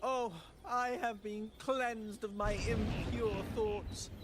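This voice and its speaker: A man's voice: high voice, level tone, slow, noisy background. A man voicing an entranced, blissful cult devotee in a video game, with a dreamy, languid delivery. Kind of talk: English video game voice acting.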